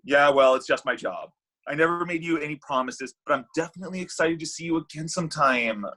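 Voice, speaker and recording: A man's voice: on the high side, fairly quick, bright, forceful tone. A man reading the part of a flippant, evasive, bitter thirty-year-old Cupid at a comedy table read. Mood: flippant, defensive.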